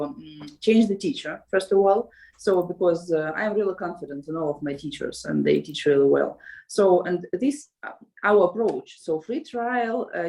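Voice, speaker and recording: A woman's voice: lowish voice, dark tone, rough recording. A woman with a Russian accent, a language school co-founder answering interview questions in a hesitant way, with frequent fillers and light laughs. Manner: hesitant, many fillers and restarts, laughs often.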